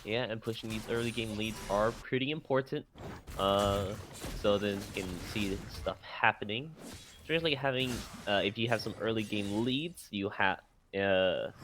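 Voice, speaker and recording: A man giving analytic commentary on an esports match, rambling with filler pauses; Vietnamese was his first language. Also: on the low side, fairly bright tone, slight background noise. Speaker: esports color caster.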